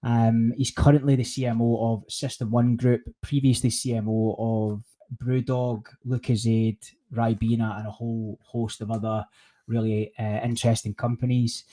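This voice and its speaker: A man's voice: mid-range voice, little pitch movement, moderate pace, mellow. A man hosting a podcast interview in a chatty way, often restarting and repeating words. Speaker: podcast host. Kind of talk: casual interview.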